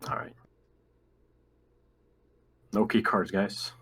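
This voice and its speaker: A man's voice: lowish voice, fairly even intonation, very slow, fairly bright tone, husky. A man playing a tabletop roleplaying game, chatting casually and in character.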